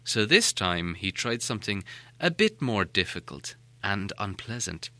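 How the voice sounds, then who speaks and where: A man narrating a storybook as an audiobook reader, with an expressive, dramatic delivery. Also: on the low side, unhurried, dry recording. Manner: expressive, animated.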